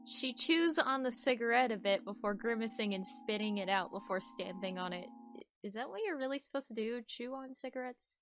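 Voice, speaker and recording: A woman's voice: fairly high pitch, expressive, brisk, fairly bright tone. A woman reading fan fiction aloud in a casual, amused way, breaking off to comment. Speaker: voice actor, co-reader.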